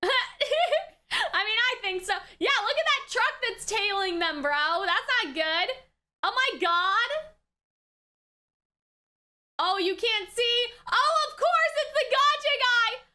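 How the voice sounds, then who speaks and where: A woman giving casual, excitable reaction commentary as the host, exclamatory and slangy, swinging between shouts and mutters. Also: extremely high pitch, slow pace, long pauses.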